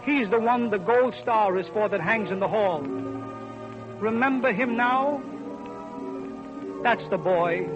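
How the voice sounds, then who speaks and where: American guy on 1930s radio, solemn speechy delivery, high pitched, dull old recording w music behind. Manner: grave, declamatory, deliberate phrasing.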